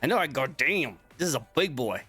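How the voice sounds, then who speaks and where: A man's voice: fairly high pitch, lively intonation, fairly quick, sharp tone, slightly rough, soft background music. A man hosting a casual online interview show, upbeat and playful, often repeating his own phrases. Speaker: talk show host.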